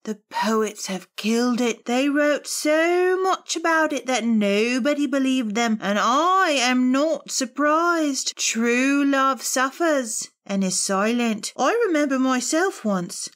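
A woman plays a heartbroken lady firework in an audio drama. The voice is high and slow, full of theatrical, mournful sighing. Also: expressive, fairly bright tone, heavily acted. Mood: mournful, wistful. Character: melancholy, heartbroken female Catherine Wheel firework.

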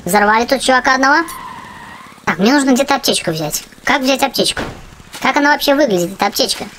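A youthful-sounding gaming host giving excited, chatty gameplay commentary, often exclaiming and repeating phrases. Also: sits high, fast talker, slight background noise. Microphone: voice-over on game footage.

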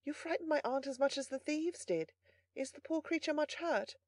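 A woman voicing a gentle, compassionate young lady in a storybook, softly spoken, hushed and pleading. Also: high-pitched, moderate pace, no echo, pitched above the performer's own voice, close to an everyday voice. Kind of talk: audiobook character voice.

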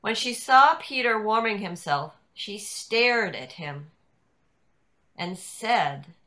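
An older woman reading scripture narration in a measured, deliberate way over a video call. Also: mid-range voice, lively intonation, bright, forceful tone. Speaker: narrator in a church dramatic reading. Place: indoors at home on a video call. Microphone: video call computer microphone.

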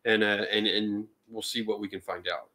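A man's voice: on the low side, level tone, moderate pace, pushed and bright. A man hosting a casual panel chat in a living room, rambling with many restarts and acting out what people said. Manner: rambling, restarts often, acts out quotes. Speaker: podcast host.

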